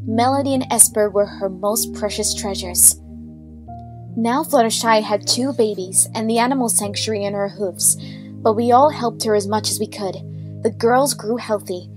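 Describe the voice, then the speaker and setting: A woman's voice: a little high, lightly acted. A woman playing a solemn, wistful pony heroine, narrating a scripted story. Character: solemn, wistful pony heroine recounting her friends' lives.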